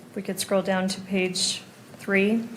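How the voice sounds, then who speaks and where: A woman's voice: mid-range voice, flat delivery, measured pace, a little hiss or hum, low-quality recording. A woman speaking as an attorney at a hearing, politely giving procedural instructions to a witness. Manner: polite, directing.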